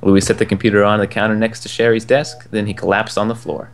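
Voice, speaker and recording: A young man reading a children's book aloud in a relaxed way, chuckling now and then at the text. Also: lowish voice, fast talker. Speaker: storyteller. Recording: soft game music behind the voice.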